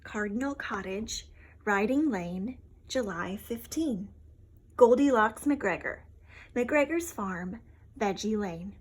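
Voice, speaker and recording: A woman reading a children's storybook aloud, with deliberate, clearly separated phrases. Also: medium pitch, lively intonation. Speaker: children's book reader. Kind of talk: scripted storybook read-aloud.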